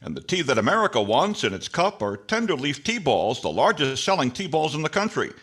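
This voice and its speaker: A man's voice: fairly high pitch, big pitch swings, a little fast, a bit bright, husky, exaggerated voice. An older man playing an earnest 1940s radio announcer reading a scripted advertisement. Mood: upbeat selling.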